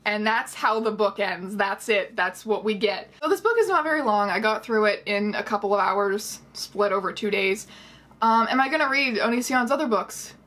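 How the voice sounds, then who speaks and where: A woman in her twenties giving sarcastic, animated commentary as a casual vlogger talking to camera. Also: mid-range voice, moderate pace. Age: 20s. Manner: sarcastic, animated storytelling with mocking quotes. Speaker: vlogger reviewing a book. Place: small bedroom, indoors.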